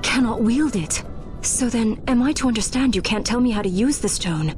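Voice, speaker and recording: An actress playing a proud, earnest young princess in a video game, with formal, stately diction. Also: female voice, a little high, lively intonation, moderate pace, a little mellow, faint music behind, natural voice. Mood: guarded, insistent. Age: young adult. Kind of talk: dramatic video game voice acting.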